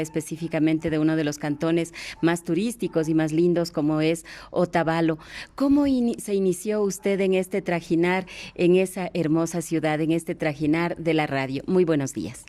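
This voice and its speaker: A woman's voice: deep voice, dark tone. A woman with an Ecuadorian accent hosting a radio interview in a formal, courteous, ceremonious way.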